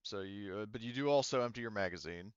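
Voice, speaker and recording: Deep-voiced man running a tabletop game. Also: clean tone, no room sound, highs cut off. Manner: halting, thinking aloud while checking rules. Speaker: game master. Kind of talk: running a tabletop roleplaying game, explaining rules.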